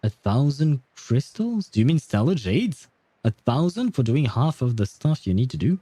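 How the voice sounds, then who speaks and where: A man's voice: mid-range voice, sing-song, normal speed, warm tone, breathy edge, dry recording. A man hosting a casual gaming livestream, chatting with viewers in a rambling, hesitant way. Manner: hesitant, rambling, chuckling often. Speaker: livestream host.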